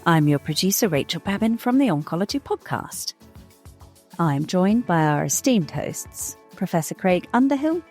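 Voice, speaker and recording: Brief: woman, lower pitch, scripted show intro over soft music. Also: normal speed. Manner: even, read-out delivery. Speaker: podcast producer.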